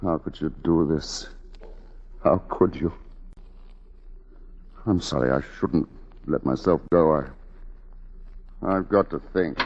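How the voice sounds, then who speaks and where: A man playing a hurt but forgiving husband, speaking gravely and earnestly in 1940s radio drama style. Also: a bass voice, takes it slowly, long pauses, darkish tone, muffled recording, slight background noise, exaggerated voice. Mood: hurt, shaken. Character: wounded, forgiving, earnest husband of an unfaithful wife. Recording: band-limited 1940s radio recording with music.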